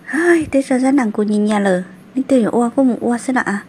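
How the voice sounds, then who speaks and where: A woman voicing a female character in an animated family drama. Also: a little high, a little fast, a little hiss or hum, close to an everyday voice. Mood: conversational. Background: music.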